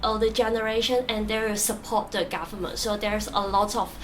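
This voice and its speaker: A young woman from Hong Kong, a native Cantonese speaker with a Hong Kong accent, telling her own story in answer to questions, soft-spoken and hesitant with many fillers and restarts. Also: medium pitch, steady tone, fairly quick, slight background noise. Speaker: interviewee.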